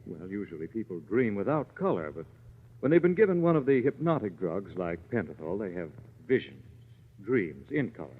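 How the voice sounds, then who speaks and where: An actor playing an assured gentleman detective who explains patiently, in 1940s radio drama style. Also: on the low side, sing-song, normal speed, slightly rough, phone-quality sound. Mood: calm, explaining. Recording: thin, band-limited old radio recording.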